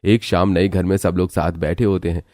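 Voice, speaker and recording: A man's voice: low voice, level tone, normal speed, darkish tone, no echo, studio quality. A man narrating a scripted cartoon family story.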